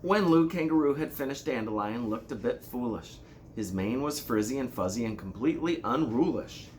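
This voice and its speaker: A man reading a children's storybook aloud like a school principal, with deliberate, expressive storytelling. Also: mid-range voice, slight background noise.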